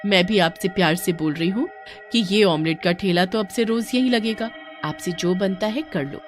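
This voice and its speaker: A woman's voice: mid-range voice, moderate pace, faint music behind, close to an everyday voice. A woman voicing a bold, cheerful young wife who speaks spiritedly. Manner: spirited and defiant.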